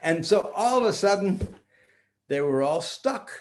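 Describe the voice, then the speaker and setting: An older man with a slightly high voice tells a story to children. He speaks very slowly and theatrically, pausing and restarting as he makes it up. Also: animated. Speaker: storyteller grandpa.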